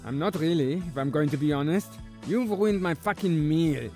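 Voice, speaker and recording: A man's voice: fairly high pitch, moderate pace, mellow, soft background music, natural voice. A man voicing a blunt, matter-of-fact castaway in a comic audio story. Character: blunt, matter-of-fact member of shipwrecked crew.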